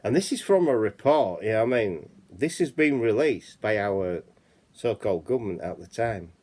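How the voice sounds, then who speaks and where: A man's voice: fairly low pitch, lively intonation, normal speed, darkish tone. A man with a Yorkshire accent hosting a casual chat channel, reading out reports and rambling, often saying "you know what I mean". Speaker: channel host.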